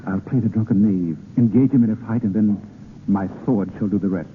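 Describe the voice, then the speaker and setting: An actor playing a bold, gallant young poet rogue, declaiming theatrically in 1930s radio style. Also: male voice, on the low side, fairly quick, slight background noise, close to an everyday voice. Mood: conspiratorial, resolute. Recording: tinny 1930s radio with music.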